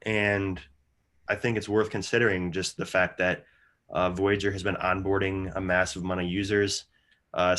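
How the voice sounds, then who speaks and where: A man working as a market analyst, giving explanatory live chart commentary in short, measured phrases. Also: low-pitched, fairly bright tone.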